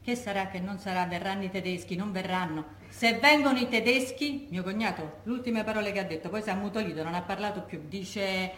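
An actress plays a working-class Roman widow in a stage monologue, recorded in an echoey room. She has a mid-pitched voice and a Roman accent. She retells wartime memories colloquially and vividly, acting out each person's words. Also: fairly quick, hardly any pauses, fairly bright tone, close to an everyday voice. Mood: anxious recollection. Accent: Roman Italian. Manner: colloquial, vivid, re-enacting remembered dialogue. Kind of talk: theatrical monologue as oral testimony.

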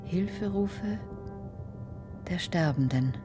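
A woman's voice: lowish voice, lively intonation, slow, music in the background. An actress playing a melancholy, haunted woman in a radio drama, poetic, brooding and trance-like. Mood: haunted, dreamy.

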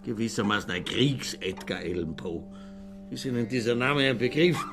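Radio play role: male actor as pompous, sentimental older Austrian intellectual, slightly drunk, medium pitch, clear music underneath. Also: expressive, normal speed, close to an everyday voice. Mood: tipsy, wistful. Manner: pompous, declamatory, tipsy. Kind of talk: radio drama dialogue.

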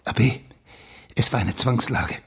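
An actor playing a sober, wry senior police official who questions in a measured way in a radio crime drama. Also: male voice, full character voice. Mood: evasive. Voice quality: low, husky.

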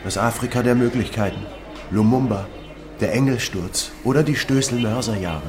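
A man's voice: medium pitch, moderate pace, a crowd in the background, natural voice. An actor playing an earnest, idealistic male writer in a radio play. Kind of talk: German radio play dialogue. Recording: music behind the voice. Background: music.

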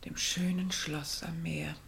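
A low, creaky, sorrowful countess. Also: female voice, little pitch movement, takes it slowly, a bit bright, exaggerated voice. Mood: wistful. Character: reserved, principled, sorrowful estranged countess. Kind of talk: German literary radio play acting.

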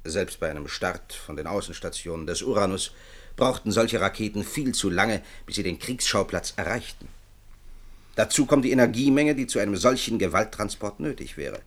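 Low-voiced, businesslike government minister. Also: male voice, animated, normal speed. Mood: defensive, insistent. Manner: formal, emphatic, argumentative. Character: objecting male government minister, businesslike and defensive. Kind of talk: German radio play acting.

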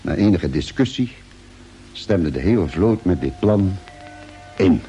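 Grave spaceship doctor, radio drama narration, mellow tone, lively intonation, formal diction, faint music. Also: male voice, low voice, measured pace. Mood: grave, suspenseful. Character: ship's doctor on a Mars mission, grave and factual. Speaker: narrator and character in a radio serial.

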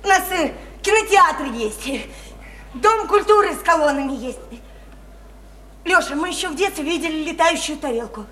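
A woman's voice: high voice, animated, moderate pace, a bit bright. An actress in a Russian radio play playing a naive, chatty, insistent provincial woman, eager and coaxing, with colloquial, repetitive talk. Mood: excited, chatty. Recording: roomy, slightly noisy radio play recording.

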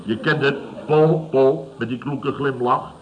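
A man's voice: medium pitch, takes it slowly, highs cut off, a little hiss or hum, lightly acted. An actor playing a pompous, scornful, self-satisfied contractor boss in a radio comedy, repeating himself and laughing at his own jokes. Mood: self-satisfied, amused. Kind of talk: radio comedy serial acting.

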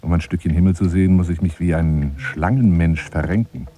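An actor playing a lonely, eccentric romantic braggart who courts a woman in a florid, theatrical radio-play monologue with hushed asides. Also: sits low, fairly quick, close to an everyday voice. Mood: confiding, proud.

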